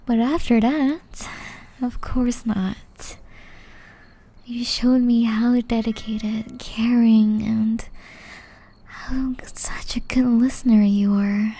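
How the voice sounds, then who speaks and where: A woman voicing a gentle, caring young witch in love, whispering softly and tenderly in an ASMR romance roleplay. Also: on the high side. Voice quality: soft, breathy whisper. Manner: slow, tender, intimate delivery.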